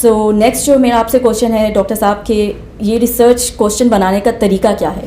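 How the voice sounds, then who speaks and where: A woman's voice: medium pitch, fairly even intonation, brisk, dark tone, slight background noise. A woman hosting an interview, summing up points and politely asking the doctor questions. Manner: sums up and asks polite questions. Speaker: host. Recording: echoey room.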